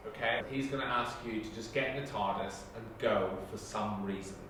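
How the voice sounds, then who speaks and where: A man's voice: lowish voice, a little fast, a bit bright. A man giving informal instructions as an audition director. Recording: very echoey room, distant mic, noisy.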